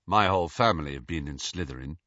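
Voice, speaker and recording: A man's voice: extremely low pitch, animated, fast talker, pushed and bright, dry recording, highs cut off, pitched below the performer's own voice, heavily acted. Man playing a cool, wry young boy.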